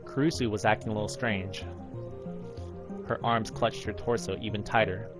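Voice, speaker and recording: A man's voice: on the low side, fairly even intonation, normal speed. An American man in his thirties reading a visual novel aloud in a narrating style. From United States, Alaska. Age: 30s. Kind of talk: dramatic read-aloud narration of game text. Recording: game music behind the voice. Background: game music.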